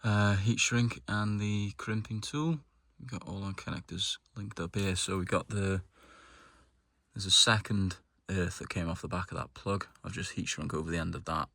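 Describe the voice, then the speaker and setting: Low-voiced man talking through DIY work. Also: a bit bright, no echo, clean studio sound. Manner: informal, thinking aloud as he works. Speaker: DIY car mechanic presenter. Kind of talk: casual hands-on how-to narration.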